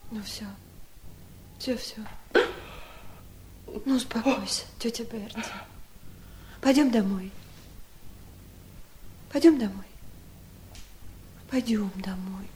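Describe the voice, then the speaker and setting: An actress playing a gentle, devoted young niece in a radio drama, soft, earnest and soothing. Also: female voice, fairly high pitch, slow pace, long pauses. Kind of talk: 1970s radio drama acting. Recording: noisy vintage radio play recording.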